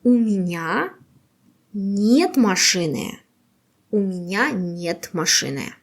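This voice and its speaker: A woman teaching a beginner language quiz, with patient, slow and carefully exaggerated pronunciation. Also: mid-range voice, lively intonation.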